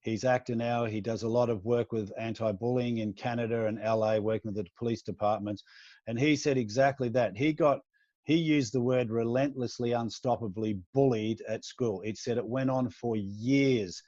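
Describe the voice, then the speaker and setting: A man hosting a podcast recounts a long story, slipping into the voices of the people he quotes. His voice is mid-pitched. The sound is dry and dull, a lo-fi recording without room echo. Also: fairly even intonation, moderate pace, dark tone. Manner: recounting a story at length, acting out quoted lines. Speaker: podcast host. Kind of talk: casual interview conversation.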